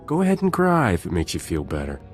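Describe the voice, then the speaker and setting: An actor playing a suave, flirtatious smuggler captain in a video game, with a smooth way of talking. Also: male voice, low-pitched, wildly swooping pitch, fast, soft background music. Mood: dry, unimpressed.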